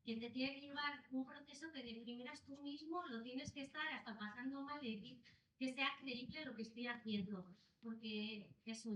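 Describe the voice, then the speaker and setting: A woman's voice: high voice, a bit bright. A woman makes an informal, rambling comment from the audience. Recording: dull, echoey, far from the mic.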